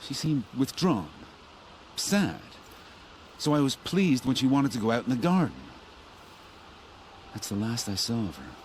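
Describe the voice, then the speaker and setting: Anguished father for a video game: middle-aged, emphatic, halting, widely swinging intonation. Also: medium pitch, sing-song, a little mellow, a little hiss or hum. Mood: wistful. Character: distraught middle-aged father searching for his missing daughter. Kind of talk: video game character acting.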